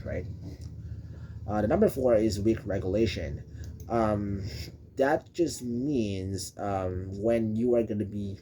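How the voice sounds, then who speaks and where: A young man from the Philippines with a Filipino accent, speaking English as a second language and explaining a school topic in a hesitant way with many pauses and restarts. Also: lowish voice, warm tone. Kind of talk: informal explainer video.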